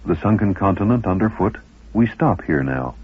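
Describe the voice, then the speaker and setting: A man's voice: deep voice, expressive, normal speed, slightly rough. A man reading scripted documentary narration as the narrator. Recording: phone-like, muffled old radio recording.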